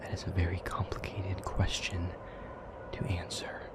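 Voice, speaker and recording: Man acting a hushed, deep-voiced brooding demon lover. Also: monotone, whispered. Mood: brooding, weary. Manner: hushed, breathy, measured, brooding delivery. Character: brooding male demon lover, tender, sardonic, wounded. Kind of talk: intimate audio roleplay acting.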